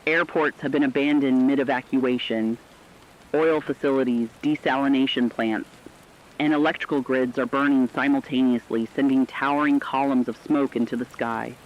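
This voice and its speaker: A man's voice: medium pitch, steady tone, moderate pace, lightly acted. A man playing a serious radio correspondent, giving an urgent, dramatic scripted report on a disaster. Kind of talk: scripted breaking news report. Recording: dull lo-fi radio sound.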